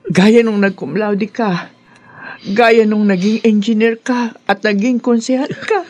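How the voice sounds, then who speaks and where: A woman's voice: fairly high pitch, unhurried, darkish tone. An older woman acting as a loving, sentimental elderly mother and grandmother in an emotional radio drama. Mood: tender, proud. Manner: effusive, sentimental.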